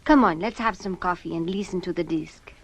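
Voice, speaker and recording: An actress playing a cool, affectionate, gently teasing spacewoman in a 1960s puppet series, with a languid, coaxing manner. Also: lowish voice, brisk, close to an everyday voice. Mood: relaxed, teasing. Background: loud music.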